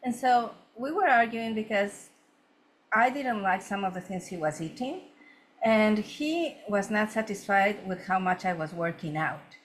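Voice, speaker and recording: Guest woman from Ecuador, earnest storyteller, middling pitch, faint background noise. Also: animated, a little slow. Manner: earnest, many fillers and restarts. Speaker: feng shui consultant guest. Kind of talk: conversational storytelling interview.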